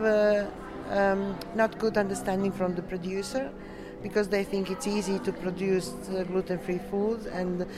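A woman from Serbia with a Serbian accent, answering interview questions in halting, non-native English as a delegate. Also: mid-range voice. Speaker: coeliac association delegate being interviewed.

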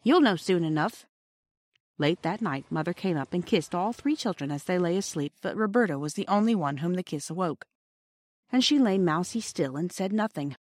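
A woman narrates a children's audiobook from a script. Her voice sits a little low, and the sound is close and dry. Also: animated, a little fast, no echo.

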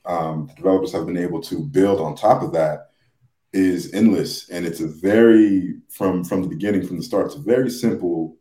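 A man in a casual podcast discussion who talks haltingly, searching for his words. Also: deep voice, warm tone, reverberant. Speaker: podcast speaker.

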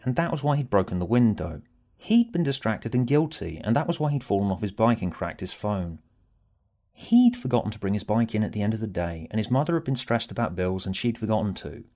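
A man's voice: on the low side, mellow. A man reading a scripted story aloud as its narrator, with music behind him. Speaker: author and narrator.

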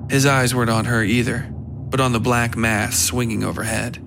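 A man reading scripted dark fiction aloud as its narrator. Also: medium pitch, steady tone, normal speed, vocal fry, noisy background.

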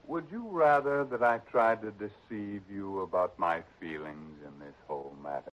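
An actor playing a blunt, self-assured business partner in a 1960s radio drama. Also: male voice, fairly low pitch, moderate pace, background noise, natural voice. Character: blunt middle-aged businessman, dead man's partner. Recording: dull lo-fi old radio broadcast.

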